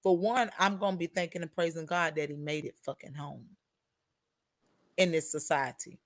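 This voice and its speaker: A woman's voice: low-pitched, fairly even intonation, normal speed, dry recording. A woman in her thirties, a teacher, talking bluntly and profanely in a heated casual discussion. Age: 30s.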